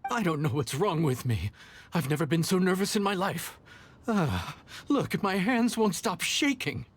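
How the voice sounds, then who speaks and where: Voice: mid-range voice, big pitch swings, unhurried, breathy edge. An actor voicing a nervous, self-doubting young male chef in a video game, hesitant and stammering, with music behind. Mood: nervous, flustered. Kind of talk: English video game voice acting.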